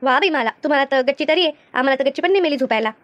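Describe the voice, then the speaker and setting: A woman voicing a lively, teasing village friend in an animated comedy, with a rural Maharashtrian accent. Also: sits high, very fast, dry recording, highs cut off. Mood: chatty. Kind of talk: animated cartoon comedy dialogue.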